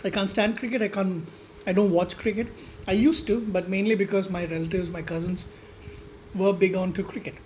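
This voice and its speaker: A man with a slightly high-pitched voice, ranting casually to his vlog audience in a rambling, blunt way and swearing at critics. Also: fairly even intonation, brisk, darkish tone, a little hiss or hum, low-quality recording. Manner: rambling, with restarts and asides. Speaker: food vlogger. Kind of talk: casual rant to camera while eating.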